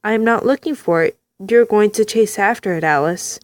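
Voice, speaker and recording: A creepy hooded Cheshire Cat with a fixed grin, as a woman reads him aloud from a game. He speaks with calm, repetitive insistence. The voice sits at a middle pitch and is recorded close and dry. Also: moderate pace, dry recording, lightly acted. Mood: insistent. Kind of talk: reading game dialogue aloud.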